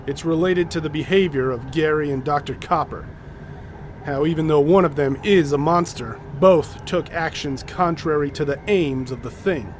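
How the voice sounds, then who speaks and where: An actor playing a commanding, methodical leader of a polar expedition in an audio drama, explaining things deliberately and with authority. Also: male voice, on the high side, a little hiss or hum, natural voice. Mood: resolute.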